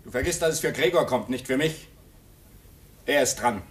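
A man's voice: mid-range voice, animated, moderate pace, breathy edge. An actor playing a restless, resentful man in his twenties in a 1950s German radio drama, with a clipped, insistent delivery. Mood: bitter, agitated. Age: 20s. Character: restless, resentful young man of twenty-five at polar station.

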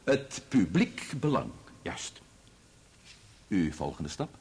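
An actor playing a formal, grave and indignant barrister in a radio drama, speaking in pompous, oratorical courtroom diction. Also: male voice, sits low, sing-song, slow pace, darkish tone, breathy edge, full character voice. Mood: probing.

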